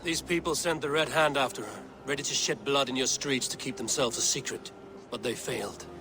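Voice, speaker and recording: An actor playing a resolute, grim and forthright Viking warrior in a dramatic video game. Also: male voice, medium pitch, brisk, noisy background, close to an everyday voice. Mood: grave, urgent.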